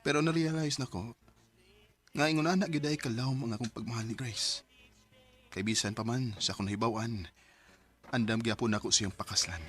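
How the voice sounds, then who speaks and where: A man's voice: mid-range voice, fairly quick, long pauses, a bit bright, faint music behind, close to an everyday voice. A man acting a hurt, devoted young man in love in an emotional radio drama, earnest and intense. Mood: reflective, sorrowful.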